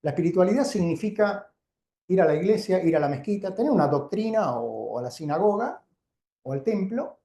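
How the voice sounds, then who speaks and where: Male Argentine lecturer, informal and explanatory, mellow timbre, clearly reverberant room. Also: sits high, expressive, a little fast. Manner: conversational, didactic, frequent check-in questions. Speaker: doctor giving a keynote lecture. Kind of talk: informal public lecture to a live audience. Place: indoor lecture venue before an audience. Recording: roomy, echoing live lecture sound.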